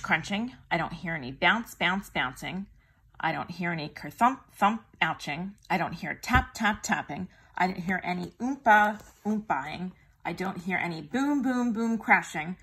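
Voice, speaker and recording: Female storybook reader, teacher type: lowish, husky, expressive delivery for small children. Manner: expressive, drawn-out sound words. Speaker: teacher reading a picture book aloud. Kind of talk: animated children's storybook reading.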